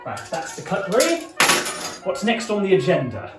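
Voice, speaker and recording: A man's voice: high-pitched, wildly swooping pitch, moderate pace. Man playing a swooping, officious wartime villager. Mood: brisk, officious. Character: brisk officious wartime villager organising a street party. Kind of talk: amateur filmed period drama acting. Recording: echoey room, distant mic, loud music.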